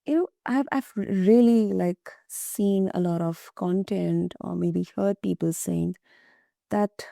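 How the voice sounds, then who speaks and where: A woman's voice: mid-range voice, slow pace, gravelly, no room sound, clean studio sound. A woman hosting an interview, asking long questions with hesitations and frequent restarts. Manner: hesitant, many fillers and restarts, warm praise. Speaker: podcast host.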